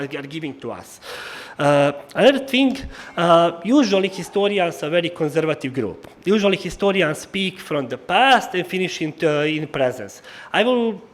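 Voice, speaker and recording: A man with a Slavic accent delivers a formal historical lecture. His voice sits somewhat higher than average for a man. He speaks haltingly, often stumbling and repeating words as he searches for the next phrase. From former Yugoslavia. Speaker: historian giving an annual lecture.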